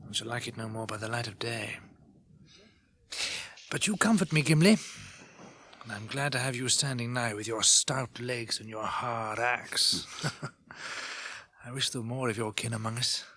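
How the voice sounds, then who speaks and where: An actor in a radio drama playing a courtly, wry elf archer with a husky voice. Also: male voice, medium pitch, sharp tone, exaggerated voice. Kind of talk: 1980s radio drama acting.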